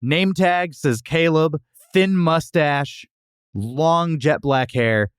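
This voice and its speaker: A man's voice: fairly high pitch, slow pace, clean tone, dry recording, studio quality. A man co-hosting a comic podcast, chatting casually in a joking, sarcastic way. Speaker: podcast co-host. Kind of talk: casual comic review chat.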